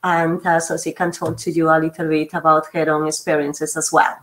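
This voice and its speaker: A woman's voice: sits low, flat delivery, fairly quick. A woman from Spain with a Spanish accent, hosting a university webinar over a video call in a polite, hesitant way. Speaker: webinar host, university representative.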